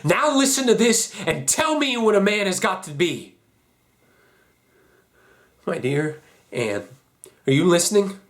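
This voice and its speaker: A man performs an idealistic young war veteran in a stage drama, his voice on the higher side. He is guilt-ridden and anguished, speaking in halting, emphatic phrases with intense outrage underneath. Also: lively intonation. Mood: bitter, urgent. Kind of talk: dramatic stage monologue audition.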